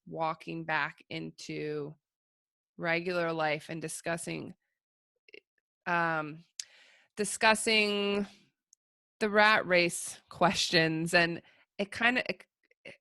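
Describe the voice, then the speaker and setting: Host: woman, bright forceful tone, lowish pitch, dry sound, rambling casual questions. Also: steady tone, slow, vocal fry, pauses often, no echo. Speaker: podcast host. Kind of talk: casual interview chat.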